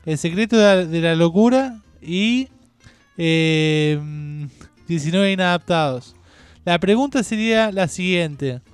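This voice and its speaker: High, clear-voiced man on radio. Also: lively intonation, takes it slowly, no room sound, soft background music. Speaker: radio contributor and author. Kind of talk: informal quiz announcement.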